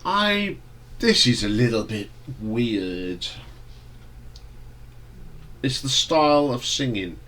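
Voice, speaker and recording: A man with a stuffy nose from hay fever, casually reviewing an album in a relaxed, rambling way with fillers and restarts. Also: mid-range voice, slow pace, long pauses. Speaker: music reviewer.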